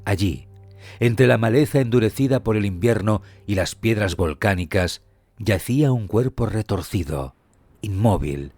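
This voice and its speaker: Low-voiced man narrating true crime, music behind. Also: expressive, unhurried, darkish tone, no room sound. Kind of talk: scripted dramatic storytelling narration.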